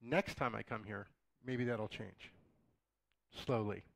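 A middle-aged man with a slightly raspy voice, giving a casual conference talk with joking asides to the audience. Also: lowish voice, takes it slowly. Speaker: conference speaker. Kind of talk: conversational lecture. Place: dark auditorium, in front of a projected slide.

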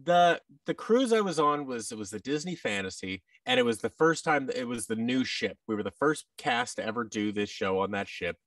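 A man's voice: mid-range voice, lively intonation, brisk, few pauses, fairly bright tone, no room sound, distant mic. A man in his thirties talking casually as an interview guest. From United States. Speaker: interview guest, actor and voice-over artist. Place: large indoor room with tables, on video call. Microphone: boom-arm microphone, headset.

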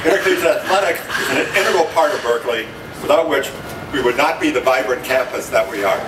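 A man's voice: medium pitch, lively intonation. Older man giving formal speech. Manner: formal, measured delivery. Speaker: university chancellor. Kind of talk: prepared press conference remarks. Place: conference room at a stadium. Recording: echoey room from far off, noisy.

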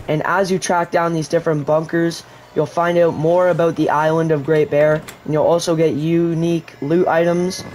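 A man's voice: a little high, darkish tone, slight background noise. A man narrating a video game tutorial, explaining step by step how to use an item. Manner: explanatory, walks viewers through steps. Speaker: gaming YouTuber. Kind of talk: gameplay tutorial commentary.

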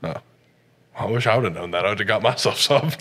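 A young man with a lowish voice teases his friend in easy banter. He chats casually in a podcast studio, ribbing and joking. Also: quick pace, a bit bright. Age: young adult. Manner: teasing, banter. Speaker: college athlete guest. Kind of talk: casual chat. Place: podcast studio on a leather couch.

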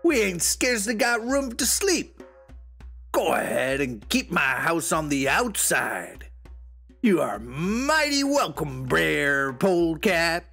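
A man voicing a big, gruff, indignant folktale bear who hollers in a drawn-out, folksy way. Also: a little high, very animated, bright, forceful tone, soft background music, exaggerated voice. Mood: wary, firm.

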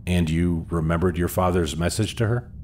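Man acting deep-voiced, probing interviewer. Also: steady tone, normal speed, darkish tone, a little hiss or hum, lightly acted. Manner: pointed questioning. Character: probing male interviewer, skeptical and pressing. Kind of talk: audio drama acting.

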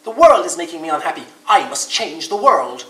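A storybook reader voicing a stubborn, excitable male rabbit in a shrill, squealing voice. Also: a little fast. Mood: furious. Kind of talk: storybook character reading. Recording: roomy echo, distant mic.

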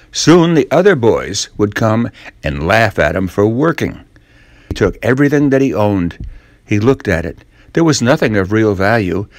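An older man reading a classic children's story as storyteller, in measured, simple phrases. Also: on the low side, no echo. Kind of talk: scripted story narration.

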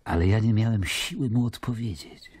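Brooding, deep-voiced Roman governor. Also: male voice, moderate pace, a little mellow, husky, no room sound. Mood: brooding, moved. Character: grave Roman governor, brooding man confiding in his brother. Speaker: actor and narrator of a radio play. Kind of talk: radio drama, epistolary first-person narration. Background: music.

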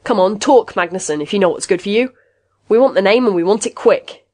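A woman's voice: mid-range voice, steady tone, moderate pace, close to an everyday voice. Woman doing a pushy, bullying police lieutenant. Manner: bullying, blunt. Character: pushy, aggressive tough male police lieutenant.

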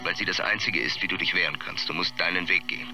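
Deep-voiced, blunt film director. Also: male voice, quick pace, background noise. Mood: blunt, insistent. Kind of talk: radio play acting as interviewee.

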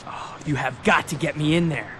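An actor playing a brash, eager young skater with slangy talk in a video game. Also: male voice, on the high side, a little fast. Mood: eager, impressed. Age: young adult. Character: cocky, eager young small-town skater guy. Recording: loud vehicle and street noise behind.

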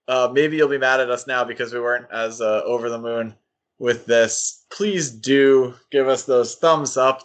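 A man's voice: mid-range voice, a bit bright. A man hosting a podcast, chatting in a casual, conversational way. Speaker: podcast host.

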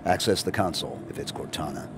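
Very deep-voiced stoic supersoldier played by a man. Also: fast, very noisy, close to an everyday voice. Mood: stoic, resolute. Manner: terse, commanding. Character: stoic gruff veteran supersoldier commander, male. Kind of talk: video game cutscene acting. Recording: loud film score behind.

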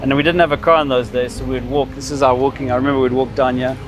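A man's voice: medium pitch, flat delivery, fast talker, noisy background. A man in his fifties with a Zimbabwean accent, telling personal stories in a casual, explanatory way as a vlogger. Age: 50s. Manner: reminiscing, explanatory. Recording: music behind the voice.

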